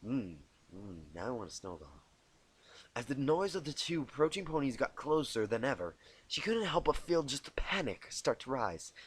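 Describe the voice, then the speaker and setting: A man narrating a story expressively as an amateur reader, informal and quick to correct himself. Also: mid-range voice, sing-song, husky. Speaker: amateur fan fiction narrator.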